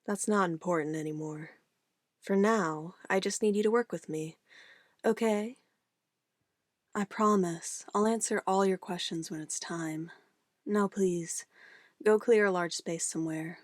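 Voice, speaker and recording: A woman's voice: lowish voice, a little slow, long pauses, no echo, studio quality, close to an everyday voice. A woman playing an obsessive, controlling witch in an ASMR roleplay, a sweetly menacing lover who moves between coaxing endearments and firm commands. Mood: possessive, controlled.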